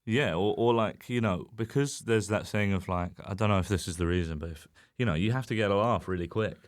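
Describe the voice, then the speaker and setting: Deep-voiced man, rambling and self-deprecating. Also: a little fast, no echo, studio quality. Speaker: comedian guest on a podcast. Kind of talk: casual chat.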